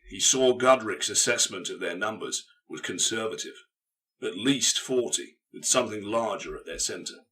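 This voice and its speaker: Male narrator for grim fiction: low, hard-edged voice, measured and emphatic, recorded well off the mic. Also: bright, forceful tone, distant mic, poor audio. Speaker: audiobook narrator. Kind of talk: scripted dramatic narration.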